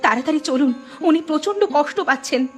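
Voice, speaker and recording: A woman's voice: sits high, expressive, fast, faint music behind. A woman playing an anxious, helpful villager in a cartoon. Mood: urgent. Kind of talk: amateur cartoon voice acting.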